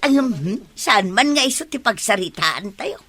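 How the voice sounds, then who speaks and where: A woman's voice: fairly high pitch, very animated, measured pace, a bit bright. A woman with an Ilocano accent playing a boastful, giggly village gossip in a comedy radio drama, teasing in a drawling singsong.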